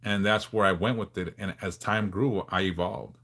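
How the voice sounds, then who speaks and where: A man in his forties from Southern California, hosting a casual livestream and answering viewers in a frank, laid-back way with halting restarts. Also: low-pitched, fairly even intonation, fairly bright tone. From Los Angeles, California. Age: 40s. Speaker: livestream host.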